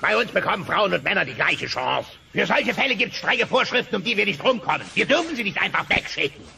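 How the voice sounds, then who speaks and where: A man's voice: sits high, very animated, fast, vocal fry, pushed and bright, full character voice. A man voicing a blustering, condescending dinosaur boss who splutters and stammers in a comedic audio play. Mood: brusque. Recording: noisy old cassette transfer.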